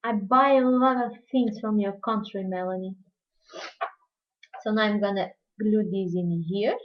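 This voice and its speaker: A woman's voice: mid-range voice, fairly even intonation, a little slow. A woman from Portugal with a Portuguese accent, chatting casually with viewers while demonstrating a craft project on a live stream. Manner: chatty, answers viewer questions while working. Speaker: crafting tutorial host. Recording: dull lo-fi livestream audio.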